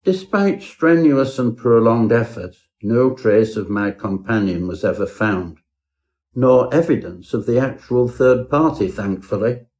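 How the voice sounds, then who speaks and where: A man's voice: medium pitch, expressive, measured pace, a little mellow, lightly acted. A man playing a pompous Edwardian professor, narrating his own parody ghost story in a florid, melodramatic way. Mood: pompous, rueful.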